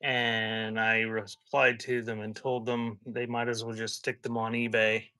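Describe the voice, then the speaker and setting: A man's voice: fairly low pitch, little pitch movement, a little slow, pushed and bright, smooth, no echo. A man hosting a live auction as the seller, talking in casual, rambling sales patter mixed with chatty stories. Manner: informal, rambling, conversational.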